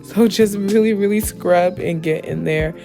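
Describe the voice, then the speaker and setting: A young adult woman with a slightly hoarse, raspy voice, giving a chatty, emphatic beauty tutorial as a casual voice-over. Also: on the low side, measured pace, mellow. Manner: chatty, emphatic, addresses viewers familiarly. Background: background music with guitar.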